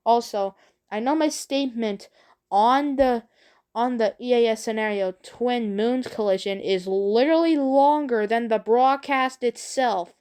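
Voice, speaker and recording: A woman talking casually and unscripted as a video creator, in a halting, rambling way with repeated phrases. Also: a little high, darkish tone, clear, resonant tone. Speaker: video creator introducing own work. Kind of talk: casual unscripted vlog talk.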